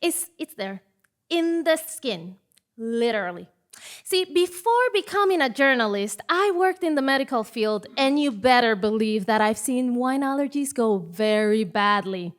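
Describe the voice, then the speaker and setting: A woman's voice: a little high, expressive, unhurried. A woman playing a scheming, insistent journalist who stammers theatrically in a comedic stage play. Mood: flustered, insistent. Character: flustered, scheming female journalist, fast-fibbing and insistent. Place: theatre stage before live audience.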